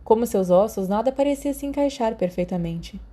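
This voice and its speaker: A woman's voice: a little high, expressive, a little fast, warm tone. A woman with a Brazilian accent reading a story aloud as a scripted narration. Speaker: audiobook narrator.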